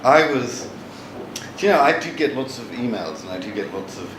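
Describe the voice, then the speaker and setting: Man, novelist, halting and wry; live talk, distant mic, heavy hall echo. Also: medium pitch, expressive. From born in Bangladesh, raised in London. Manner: wry, chatty, halting with frequent restarts. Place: large hall with audience. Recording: echoey hall from far off, noisy.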